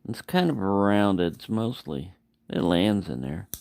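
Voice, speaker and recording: Low-voiced gravelly man, unhurried casual review. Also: expressive, darkish tone, dry recording. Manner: rambling, self-correcting, mumbling asides. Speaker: knife reviewer. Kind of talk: casual unscripted product review chat.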